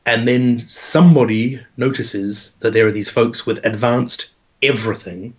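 A man with a croaky voice in casual chat, laying out a game idea with long, rambling setups. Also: mid-range voice, unhurried. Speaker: podcast co-host. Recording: dull lo-fi podcast audio.

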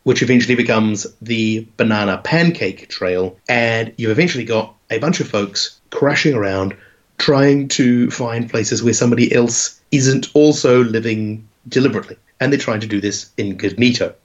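A man with a croaky, slightly rough voice talks casually, letting ideas build through long, rambling setups. His pitch sits in an ordinary middle range. The podcast audio is dull and lo-fi. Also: normal speed. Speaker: podcast co-host. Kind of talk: casual chat pitching a game idea.

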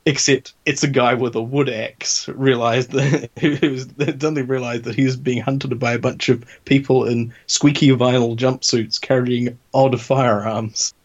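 A man's voice: medium pitch, level tone. A man chatting casually, brainstorming with a wry, joking manner. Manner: wry, quick to joke at ideas. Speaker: podcast co-host. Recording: dull lo-fi podcast audio.

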